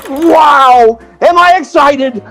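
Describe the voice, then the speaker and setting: Man as excitable agent, high voice, old-time radio storytelling, stammers when flustered, music underneath. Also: drawn-out words, full character voice. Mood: excited. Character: excitable, money-minded theatrical agent telling his story. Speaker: narrator and lead character.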